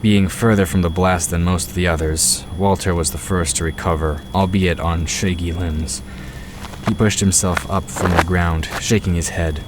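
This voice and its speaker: A man's voice: deep voice, fairly even intonation, normal speed, background noise. A man narrating a scripted fantasy story as the narrator of an audio drama.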